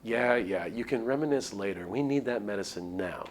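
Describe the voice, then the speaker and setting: A man's voice: on the low side, animated, moderate pace, close to an everyday voice. Man playing a sly, snide village mean girl. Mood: impatient. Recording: music under the voice.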